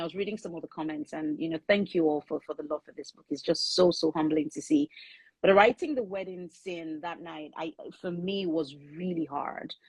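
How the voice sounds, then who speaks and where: A woman whose first language is Yoruba talks through her writing in an earnest, thoughtful way, pausing to restart her sentences. Her voice sits a little low and has a husky, breathy grain. The sound is close and dry. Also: no room sound, poor audio. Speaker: author and guest. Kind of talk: conversational interview.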